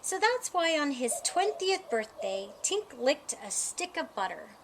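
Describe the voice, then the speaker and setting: A woman reading a children's picture book aloud as a storyteller, with an expressive, deliberate storytime delivery. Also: sits high, a little hiss or hum. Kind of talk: scripted children's story narration.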